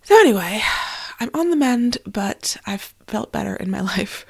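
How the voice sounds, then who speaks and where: A woman talks through her podcast in an easy, chatty way, a bit stuffy and nasal from a recent illness. Her voice carries a gravelly fry. It sounds close and dry, with no room echo. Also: medium pitch, animated, moderate pace. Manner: chatty and candid, with fillers and small laughs. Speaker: podcast host and author. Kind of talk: casual solo podcast monologue.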